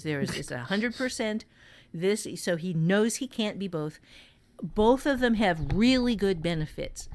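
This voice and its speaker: Woman writing teacher chatting on a podcast, really unhurried, mid pitch, deliberate and emphatic. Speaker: fiction writing teacher and author. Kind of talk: casual podcast teaching conversation.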